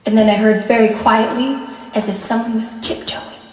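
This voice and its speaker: Woman acting as terrified fragile wife, high voice, anxious, halting, radio thriller, distant in an echoey hall. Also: little pitch movement, a little mellow, lightly acted. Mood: hushed dread. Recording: echoey hall, distant mic, background noise.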